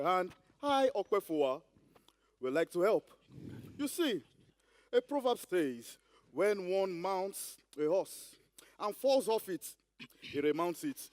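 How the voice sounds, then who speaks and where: A man with a Nigerian accent playing a sly, bumbling schemer who talks haltingly in proverbs, in a comic stage drama. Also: high voice, animated, darkish tone, dry recording. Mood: wheedling. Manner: hesitant, roundabout, halting.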